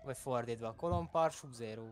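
A man's voice: mid-range voice, a little hiss or hum, lightly acted. A man playing a polite, procedural police officer in an improvised roleplay game, hesitant as he questions. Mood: businesslike. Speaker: online roleplay game player.

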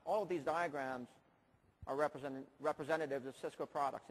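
A man's voice: a little high, creaky. A man in his forties giving a conference keynote on stage, explaining technical products with frequent restarts, on a lapel mic. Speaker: keynote speaker. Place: large conference hall stage. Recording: reverberant conference hall.

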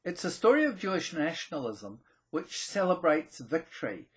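A man presents a scripted explainer to camera, with deliberate, emphatic phrasing, dramatic pauses and a slightly husky voice. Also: fairly high pitch, big pitch swings. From New Zealand. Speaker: presenter of a theology video channel.

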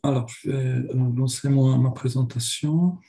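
A man's voice: mid-range voice, fairly even intonation, measured pace, gravelly, dark tone. A man with a Polish accent and a cold, giving a hesitant academic lecture over a video call, with many fillers. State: has a cold. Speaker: seminar speaker. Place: home office on video call.

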